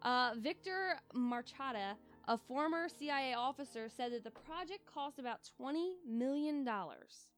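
High, pitchy, congested woman co-host. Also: moderate pace, hardly any pauses, soft background music. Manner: excitable, laughs often, swears freely. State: congested from sinus infection. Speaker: podcast co-host. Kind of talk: casual chat.

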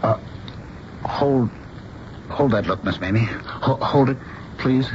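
A man's voice: mid-range voice, slow pace, lightly acted. An actor playing a genial middle-aged portrait painter and doting father in a radio drama, easygoing, playful and theatrical. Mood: coaxing. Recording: dull, hissy broadcast radio recording.

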